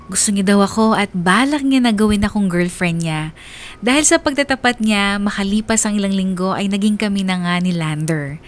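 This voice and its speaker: A woman acting a confiding young narrator, mid-pitched. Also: normal speed, close to an everyday voice. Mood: reflective. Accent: Filipino. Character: young woman letter-sender recounting her first love. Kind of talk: first-person radio drama narration.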